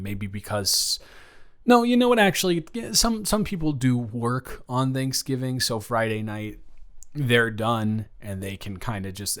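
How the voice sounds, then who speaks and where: A man's voice: mid-range voice, close to an everyday voice. A young American man playing a chatty, awkward best friend in an improvised audio roleplay, talking casually and often interrupting himself. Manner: rambling, halting, self-interrupting. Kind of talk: improvised boyfriend-style audio roleplay, casual one-sided chat.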